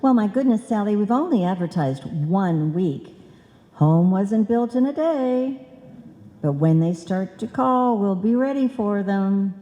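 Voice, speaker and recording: An actress playing a scatterbrained, bossy wife who earnestly mangles sayings in an old-time radio comedy. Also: female voice, mid-range voice, lively intonation, measured pace, warm tone, dull audio, lightly acted. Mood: earnest, confident. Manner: earnest malapropisms, chiding. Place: on stage in a live performance. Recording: live hall recording, long reverb.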